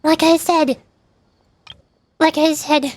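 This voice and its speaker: A woman's voice: sits high, level tone, slow pace, dry recording. A woman streaming a game, giving casual, rambling commentary as she thinks aloud. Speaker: streamer, VTuber.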